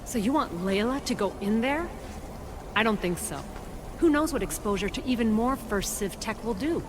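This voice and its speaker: A woman's voice: a little high, lively intonation, normal speed, noisy background, lightly acted. An actress playing a cautious, protective female doctor in a video game, careful and worried about her friend. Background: game music.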